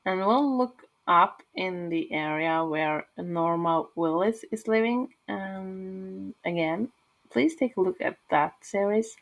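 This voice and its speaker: A woman's voice: mid-range voice, slow. A woman from Norway with a Norwegian accent, talking casually and hesitantly through a game build with many fillers. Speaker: gaming channel host.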